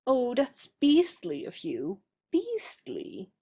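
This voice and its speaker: A woman voices a young stage beauty in a period audio drama, pleading in distress. Her voice is high and soft in tone. She draws her appeals out very slowly. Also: huge pitch swings, gravelly, exaggerated voice. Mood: hurt.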